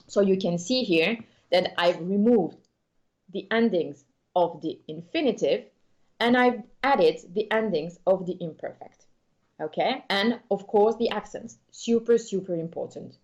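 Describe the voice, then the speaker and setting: A woman's voice: mid-range voice, a little mellow, dull audio. A woman born in Belgium, bilingual in French and Spanish, teaching an online Spanish lesson in a patient, explanatory way and often checking in with "okay?". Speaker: Spanish tutor.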